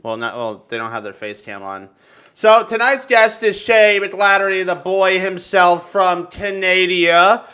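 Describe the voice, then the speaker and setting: A man hosting a casual, excitable podcast chat, informal and sweary in his talk. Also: sits high, measured pace, smooth. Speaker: podcast host.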